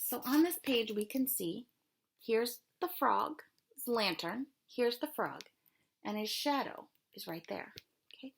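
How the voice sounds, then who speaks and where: A woman's voice: a little high, husky. Woman, elementary teacher, patient explainer, read-aloud lesson for children, small room. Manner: patient, explaining step by step, checking in with "okay?". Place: small room at home, darkened.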